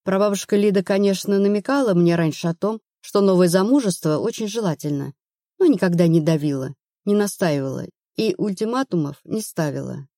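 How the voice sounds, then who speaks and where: A woman's voice: fairly low pitch, brisk, warm tone, no echo, clean studio sound, lightly acted. A woman narrator playing an anxious, stubborn woman of thirty-one in an audiobook, plaintive and earnest. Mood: uneasy confiding.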